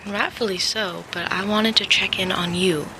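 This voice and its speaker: Gentle friend, noisy background. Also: female voice, medium pitch, fairly even intonation, moderate pace. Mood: concerned, gentle. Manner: gentle, direct. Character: supportive, frank, gentle female friend. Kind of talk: naturalistic audio drama acting.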